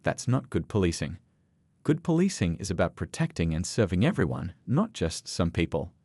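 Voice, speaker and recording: A man voicing a dignified old grandfather in a narrated story, calm, principled and plain-spoken. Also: low voice, normal speed, breathy edge, no room sound, voice pushed down, heavily acted. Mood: calm, resolute.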